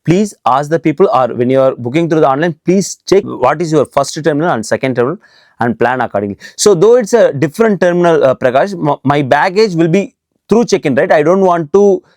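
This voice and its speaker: Fast-talking man, Tamil native speaker. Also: a little high, animated, a little mellow, no room sound, clean studio sound. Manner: fast, eager talk with frequent fillers. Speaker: host. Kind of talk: casual interview.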